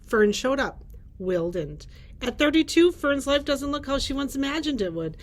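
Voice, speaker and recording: A middle-aged woman with a slightly high-pitched voice recommends novels. She reads their summaries aloud in a librarian's presenting style, recorded on a shared mic in a library room. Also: very animated, moderate pace. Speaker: librarian presenting book picks. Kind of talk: reading book blurbs aloud with brief chat. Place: indoors, library room with bookshelves.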